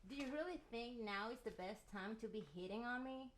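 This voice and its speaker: A woman's voice: fairly high pitch, brisk, a little hiss or hum, close to an everyday voice. A woman playing a dramatic scientist heroine in an amateur comedic radio play, with melodramatic delivery. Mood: subdued, wry. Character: dramatic female scientist heroine, earnest and urgent.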